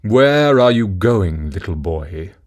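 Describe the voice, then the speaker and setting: Storybook lightning spirit, voiced by a man: slow, loud, commanding, drawn-out words. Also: low voice, sing-song, heavily acted.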